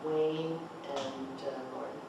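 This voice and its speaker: A man's voice: mid-range voice, fairly even intonation, slow pace, gravelly. Echoey, distant man leading a script rehearsal. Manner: prompting with questions, repeats himself for emphasis. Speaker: director leading a script table read. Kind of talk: casual rehearsal discussion and instruction. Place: echoey indoor room at a group table read. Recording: very echoey, distant mic, noisy room.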